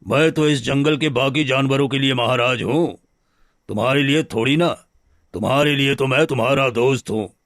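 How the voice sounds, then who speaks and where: Friendly cartoon lion, male actor, plain-spoken king of the jungle, medium pitch, dry recording. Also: fairly bright tone, no echo, natural voice. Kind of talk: Hindi cartoon story dialogue.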